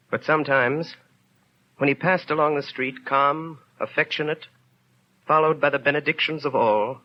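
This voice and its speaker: An actor playing a solemn, brooding storyteller in a 1930s radio drama, narrating in a grave, deliberate, literary way. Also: male voice, mid-range voice. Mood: quietly ominous. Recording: dull 1930s radio recording. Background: faint music.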